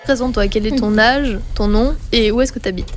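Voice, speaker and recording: A young woman in her early twenties with a fairly high voice. She co-hosts a radio show, putting questions to guests and reading out a prepared text. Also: a little fast, faint music behind. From France. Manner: asks questions, reads a presentation. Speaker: radio trainee and co-host.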